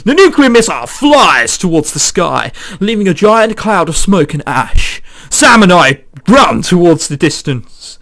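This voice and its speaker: A man's voice: sits high, big pitch swings, measured pace, hardly any pauses, a bit bright. A man who is a let's player reading a visual novel aloud dramatically, with exclamatory, sweary joking commentary.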